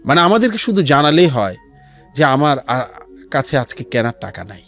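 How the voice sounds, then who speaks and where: A man's voice: mid-range voice, very animated, normal speed, a bit bright, soft background music. A man with a Bangladeshi accent talking earnestly as a guest in a radio interview, explaining in a storytelling way and mixing in English phrases. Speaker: interview guest, founder of a charity resale platform. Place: radio studio.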